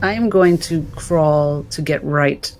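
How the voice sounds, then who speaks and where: A man's voice: on the high side, slow pace, darkish tone, soft background music. A man playing a tabletop role-playing game, talking casually and jokingly through his character's actions.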